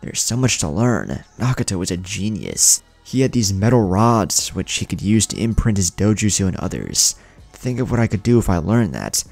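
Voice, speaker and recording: An eager, stubborn young male ninja from an anime-style audio story, acted by a man narrator. He explains things earnestly in a low, creaky voice, with music behind him. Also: sing-song, normal speed, darkish tone, no echo, heavily acted. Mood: excited, pleading.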